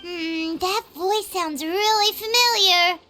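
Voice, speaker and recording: A woman voicing a tiny, excitable floating fairy companion in a video game, squeaky, childlike and chirpy. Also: slow pace, over loud music. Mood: puzzled, surprised. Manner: chirpy, exclamatory, refers to self in third person. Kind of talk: animated video game dialogue.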